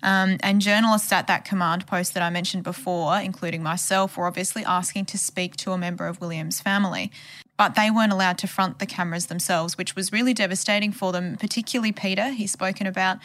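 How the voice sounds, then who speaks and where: A woman's voice: mid-range voice, steady tone, quick pace, a bit bright. A woman journalist giving a conversational podcast account of a case. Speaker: journalist who covered the case.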